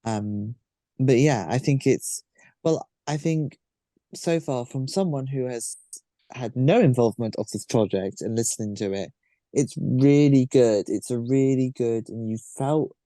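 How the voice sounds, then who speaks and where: Male podcast host, unhurried and hesitant, soft dark tone, dry sound, conversational. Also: medium pitch, dry recording. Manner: hesitant, searching for words. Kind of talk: casual interview chat.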